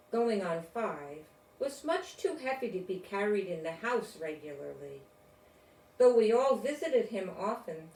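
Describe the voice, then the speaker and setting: Slow-paced older woman reading a storybook. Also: medium pitch, a little mellow. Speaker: storyteller reading a children's book aloud. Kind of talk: read-aloud storybook narration with informal asides. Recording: roomy, distant mic, faint noise.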